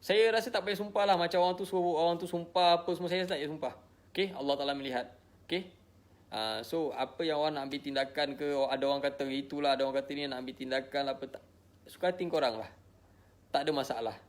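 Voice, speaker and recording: Higher-voiced Malaysian man, emphatic talker. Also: fairly even intonation, quick pace. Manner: repetitive, emphatic, punctuated with check-in tags. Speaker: social media personality addressing followers. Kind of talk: informal live monologue to followers.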